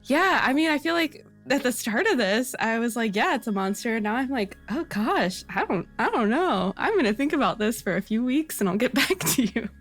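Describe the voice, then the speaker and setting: A woman's voice: a little high, animated, normal speed, hardly any pauses, a bit bright, faint music behind. A woman chatting casually as a panelist, playful and enthusiastic in how she talks. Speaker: podcast panelist. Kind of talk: casual chat.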